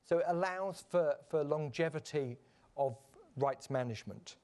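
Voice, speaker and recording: A man delivers a conference presentation from the stage. His voice sits a little high for a man, and he explains things in a measured way, pausing on fillers and restarting phrases as he goes. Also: a little mellow. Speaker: conference panel speaker.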